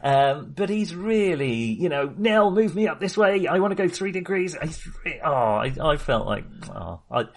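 Animated, slightly husky man chatting about films. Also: a little high, normal speed, hardly any pauses. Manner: enthusiastic, digressive, jokey. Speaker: film podcast co-host. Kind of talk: casual film discussion chat.

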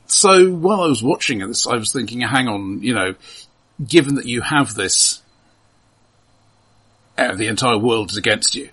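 A man's voice: mid-range voice, lively intonation, normal speed, pauses often, fairly bright tone, breathy edge. A man co-hosting a casual, analytical film discussion, reasoning tentatively step by step. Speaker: podcast host.

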